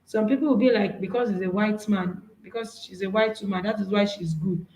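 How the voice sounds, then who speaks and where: A woman's voice: mid-range voice, brisk, darkish tone, reverberant, low-quality recording. A woman with a Nigerian accent, whose first language is Yoruba, giving an earnest, encouraging workshop talk with slides, often restarting her phrases. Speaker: speaker.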